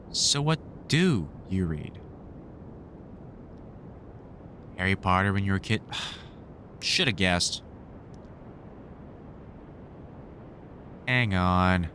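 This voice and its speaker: Man playing a lively, wry vampire hunter. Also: mid-range voice, slight background noise. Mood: exasperated teasing. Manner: wry, sweary, exasperated but fond banter. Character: gruff, sardonic British vampire hunter, protective, teasing mentor. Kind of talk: roleplay audio drama addressed to the listener.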